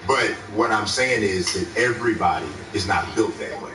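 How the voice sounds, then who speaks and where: A man's voice: on the low side, moderate pace. A man who writes about sports video games, chatting casually and hedging with frequent "I mean". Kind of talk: casual chat. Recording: echoey, distant mic with music behind.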